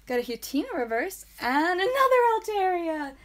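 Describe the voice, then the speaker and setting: A woman hosting a live card pack-opening stream, chatting playfully and saying sound effects aloud, with a calming voice. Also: very high-pitched, normal speed. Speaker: trading card stream host. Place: indoor room with whiteboard.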